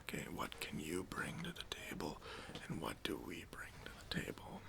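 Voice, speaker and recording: A man playing a self-important, demanding boss at a job interview in a whispered ASMR roleplay, talking in a condescending, halting way. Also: extremely low pitch, huge pitch swings, creaky, a bit bright, full character voice. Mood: smug, appraising. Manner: pompous, condescending, halting with drawn-out pauses.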